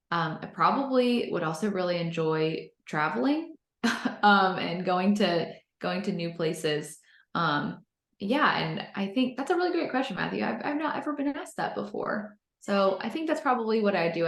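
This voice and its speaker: A woman's voice: fairly low pitch, creaky. An American woman in her thirties, upbeat and enthusiastic, answering interview questions conversationally, with a roomy, echoey sound. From North Carolina, United States. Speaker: interview guest, business founder.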